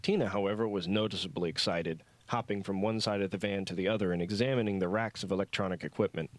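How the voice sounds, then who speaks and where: Guy reading an audiobook novel, kinda low voice, dry close recording. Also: steady tone, fast, dry recording. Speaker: audiobook narrator. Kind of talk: scripted fiction narration.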